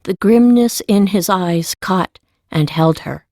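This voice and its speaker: A woman's voice: fairly low pitch, slow, warm tone, dry recording, studio quality. A woman reading scripted story narration as the narrator of an audiobook.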